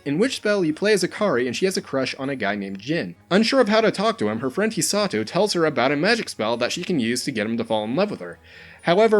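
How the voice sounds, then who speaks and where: A man reading a scripted top-list countdown as its host, in an informal way with dry, humorous asides. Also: a little high. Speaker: host of a video game recommendation countdown.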